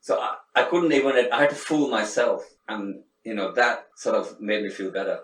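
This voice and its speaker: A man's voice: on the low side, normal speed, fairly bright tone. A middle-aged man from Sri Lanka, talking in a reflective, casual interview with many restarts and fillers. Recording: echoey room, faint background noise, mic at a distance.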